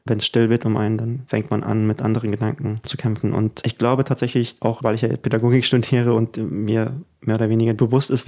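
A man's voice: mid-range voice, darkish tone. A young man in his twenties, from Ukraine, talking reflectively and earnestly as an interview guest, laughing easily between thoughts. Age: 20s. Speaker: guest musician. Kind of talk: casual interview talk.

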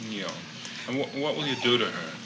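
A man's voice: mid-range voice, animated, a little fast. A man asking everyday questions in a hesitant, unscripted way, as a customer. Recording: noisy old field recording.

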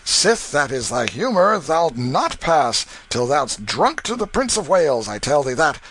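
A man voicing a burly, tipsy Tudor waterman who talks slowly in old-fashioned speech. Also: fairly high pitch, very animated, fairly bright tone, breathy edge, voice pushed up, exaggerated voice. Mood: jovial.

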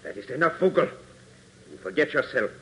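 Domineering gang boss, swinging intonation. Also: male voice, medium pitch, lively intonation, brisk, a bit bright, low-quality recording. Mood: stern commanding. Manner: curt, commanding. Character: stern, domineering male gang boss, impatient villain. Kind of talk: 1940s radio serial acting. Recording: hissy 1940s radio transcription.

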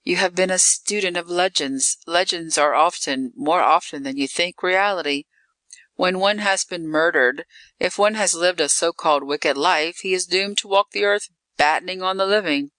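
A narrator voicing a calm, cool vampire woman. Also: mid-range voice, moderate pace, no echo, natural voice. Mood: coolly resigned. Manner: calm, dry, condescending endearments. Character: calm, worldly female vampire, coolly possessive.

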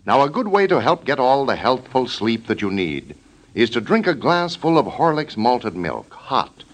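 An American man announcing in the polished, reassuring style of a 1930s radio advertisement. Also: medium pitch. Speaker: radio announcer reading a sponsor message. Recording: old 1930s radio broadcast.

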